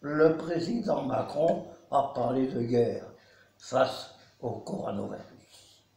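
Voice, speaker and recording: A man in his nineties from Belgium, a care home resident, giving a solemn, measured spoken testimony. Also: mid-range voice, animated, a little mellow. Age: 90s. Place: nursing home. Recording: echoey room.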